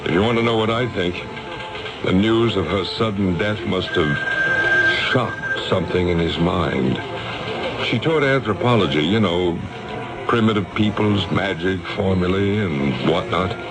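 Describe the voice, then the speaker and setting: An American man playing a smooth, evasive, callous company boss in a 1970s radio drama, with a glib, patronizing manner. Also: deep voice, expressive, unhurried, low-quality recording. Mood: smooth, feigned concern. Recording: vintage radio drama with music.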